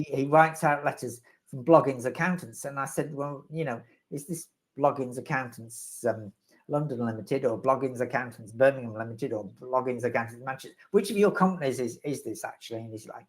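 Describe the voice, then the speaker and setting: A man's voice: medium pitch, moderate pace, a bit bright. A man answering questions as the resident expert in a live webinar, rambling and wry, with self-deprecating humor.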